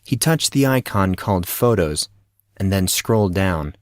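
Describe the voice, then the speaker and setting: A man narrates a fiction audiobook in a low voice. The recording is close and dry, with the delivery of scripted storytelling. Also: animated, measured pace, no echo.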